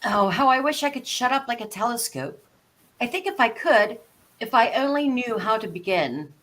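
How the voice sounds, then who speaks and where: A woman's voice: fairly high pitch, animated, moderate pace, a bit bright. A woman playing a curious, polite young girl who talks to herself in wonder, in a storybook live read. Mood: wistful, curious.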